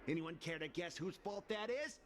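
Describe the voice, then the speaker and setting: A man's voice: sits high, expressive, normal speed, slight background noise. A man voicing a belligerent, scornful troublemaker in a video game, sneering and accusatory. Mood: accusing, angry. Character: belligerent, scornful male troublemaker stirring a crowd. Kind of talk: video game character acting.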